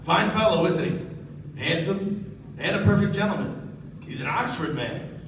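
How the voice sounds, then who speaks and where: An older racketeer in a stage radio drama, polite and pleased with himself. His voice sits slightly high. It comes distant and very echoey, over clear background noise. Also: animated, measured pace. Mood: smug, sociable. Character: genteel, sinister older gangster and gambler. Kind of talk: 1940s-style live radio play acting. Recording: echoey stage, distant mic, noisy room.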